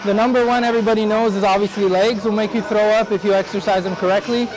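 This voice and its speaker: A man's voice: sits high, fairly even intonation, fast, poor audio. An American man in his thirties, a gym trainer explaining exercises to the camera. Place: large busy indoor gym. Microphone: clip-on lapel mic. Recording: clear hip hop music behind the voice.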